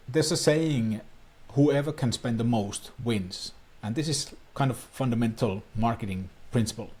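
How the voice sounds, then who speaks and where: Finnish man, native Finnish speaker, marketing coach explainer, husky mid-pitched voice, earnest and plain. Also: darkish tone. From Finland. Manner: earnest, plain non-native phrasing with small restarts. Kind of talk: talking-head explainer to camera.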